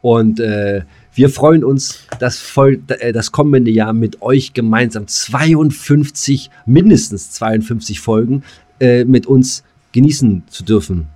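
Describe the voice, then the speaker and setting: A man's voice: mid-range voice, slow, dark tone. A man co-hosting a casual comedy podcast, jokey and mock-grandiose, often stopping to search for words. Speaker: podcast co-host.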